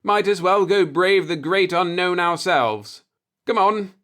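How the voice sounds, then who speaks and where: An earnest, fretful young husband, played by a male narrator in a sci-fi storybook. His voice sits higher, uneasy as a stranded space yacht passenger. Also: expressive, unhurried, fairly bright tone. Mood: brave, resigned. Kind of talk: storybook dialogue in a sci-fi audiobook.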